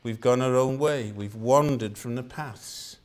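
A man's voice: medium pitch, unhurried. Older Welsh man preaching. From Wales. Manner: earnest, repeats phrases for emphasis. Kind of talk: sermon.

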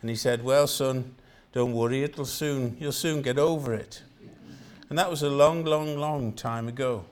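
A man's voice: medium pitch, steady tone, a little slow. An older man from Wales with a Welsh accent, preaching a sermon in an earnest style and repeating phrases for emphasis.

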